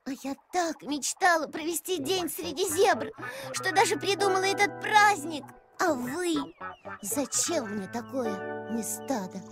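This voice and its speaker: A woman's voice: extremely high pitch, lively intonation, measured pace. A woman voicing an earnest, bossy young zebra girl in a children's cartoon, with an insistent, lecturing manner. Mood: hurt. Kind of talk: animated children's cartoon acting. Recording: music under the voice.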